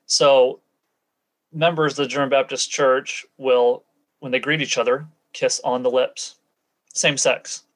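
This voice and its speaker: A man's voice: mid-range voice, little pitch movement, a little slow, fairly bright tone. Matter-of-fact man explaining in conversation. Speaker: interview guest, former church member.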